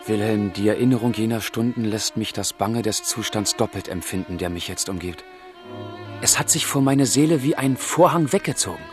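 A man's voice: lowish voice, moderate pace, over music, close to an everyday voice. An actor playing a passionate, brooding young man of the 1770s in a literary radio drama, with fervent, declamatory delivery. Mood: anguished, brooding. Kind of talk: literary radio drama, dialogue and letter monologue.

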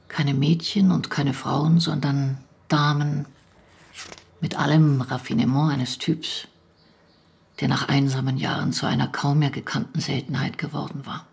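An actress playing a stern, bitter postwar investigator in a German radio drama, speaking in a controlled, cutting and deliberate way. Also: female voice, deep voice, creaky, a little mellow, breathy edge, heavily acted.

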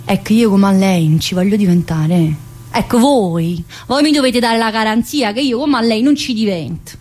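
A woman's voice: mid-range voice, normal speed, a little mellow, close to an everyday voice. An actress as a brash southern Italian woman prisoner. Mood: insistent, pleading. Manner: colloquial, dialect-laced, addressing an unseen teacher. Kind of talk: theatrical dramatic monologue.

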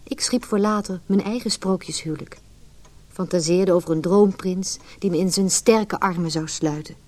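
A woman's voice: on the low side, normal speed, warm tone, close to an everyday voice. An actress playing an anxious, wounded, introspective married woman in a Dutch radio play. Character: anxious, wounded married woman recalling her lonely childhood.

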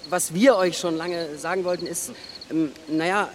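An actor playing a nervous, awkward young man in a radio drama, speaking haltingly. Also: fairly high pitch, steady tone, background noise, natural voice. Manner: halting, hesitant.

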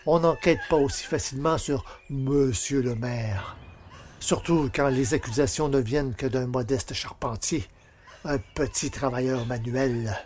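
An actor playing a bitter, vengeful village carpenter, speaking in a brooding, menacing monologue for a French audio drama. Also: male voice, mid-range voice, unhurried, a little mellow, a little hiss or hum, natural voice. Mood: bitter, resolved.

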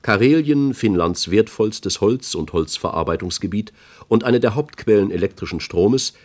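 A man's voice: fairly low pitch, lively intonation, normal speed, darkish tone. A man reading reference entries in a radio play in a formal, factual manner. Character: sober male reader of encyclopedia and guidebook entries. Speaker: reader of quotations.

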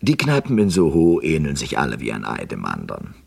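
A man's voice: a bass voice, huge pitch swings, a little fast, gravelly, darkish tone, slightly rough, full character voice. A man playing a crime writer turned sleuth who narrates his own case suspensefully in a 1970s German radio crime drama. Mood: wry, brooding.

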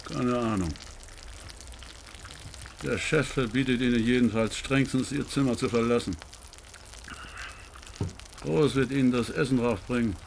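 An actor in a German radio play as an annoyed, blunt crook passing on orders in colloquial speech. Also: male voice, medium pitch, steady tone, unhurried, vocal fry, long pauses, slight background noise. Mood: irritated. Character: gruff male crook, annoyed henchman giving orders.

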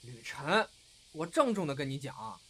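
一位男演员在广播剧里饰演调皮爱闹的相声搭档兼恋人，年纪较轻，说话俏皮，爱斗嘴。. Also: male voice, medium pitch, a little slow, a little hiss or hum. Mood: mischievous. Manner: cheeky, expressive, bantering. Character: cheeky, playful younger male comedy partner and lover. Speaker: voice actor. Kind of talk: intimate audio drama dialogue.

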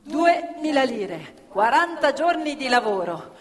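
A woman narrating as a stage actor in radio theatre, deliberate and playfully emphatic. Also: sits high, big pitch swings, crowd noise. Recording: reverberant hall with live music behind.

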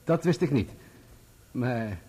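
An actor in a radio play playing a defensive journalist on the witness stand, giving formal, guarded answers. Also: male voice, medium pitch, lively intonation, takes it slowly, dark tone, slightly rough. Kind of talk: courtroom radio play acting.

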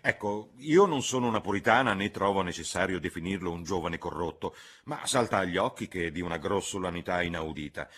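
A man's voice: low-pitched, lively intonation, a little fast, few pauses, a bit bright, voice pushed up. A man voicing a fussy, reproving older spinster chaperone in an audiobook reading. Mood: disapproving. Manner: mildly reproving, prim, insistent.

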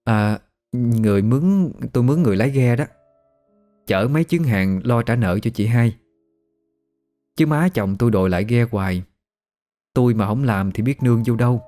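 A man's voice: mid-range voice, expressive, moderate pace, long pauses, mellow, faint music behind, close to an everyday voice. Man voicing an evasive, plaintive Southern Vietnamese boat widow. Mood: defensive. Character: evasive young widow living on a river boat.

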